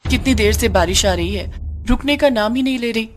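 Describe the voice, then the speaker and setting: A woman's voice: a little high, a little fast, close to an everyday voice. Lady doing a worried village mom, pleading and fretful, cartoon story, noisy background. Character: distressed poor mother, worried devoted wife. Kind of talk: Hindi cartoon story character voice.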